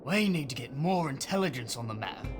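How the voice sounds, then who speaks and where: Animated, wry young urchin. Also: female voice, a bass voice, brisk, music in the background. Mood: serious. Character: practical, wry Victorian London urchin child. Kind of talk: audio drama acting.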